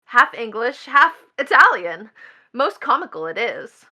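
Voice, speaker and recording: A woman's voice: on the high side, big pitch swings, a little slow, pushed and bright, heavily acted. An actress playing a defiant, scornful young woman in a stage play, imperious, mocking and melodramatic. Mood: bitterly mocking. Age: young adult.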